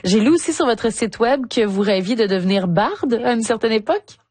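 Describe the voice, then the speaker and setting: A woman's voice: on the high side, lively intonation, fairly quick, darkish tone, dry recording. A woman hosting a radio interview, engaged and lightly teasing, laughing easily with her guest. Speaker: radio host.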